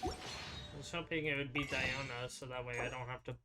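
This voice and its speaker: A young man giving casual gameplay commentary, muttering to himself in halting, half-finished thoughts. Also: medium pitch, steady tone, heavy background noise. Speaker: gamer playing on a stream or recording. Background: game music.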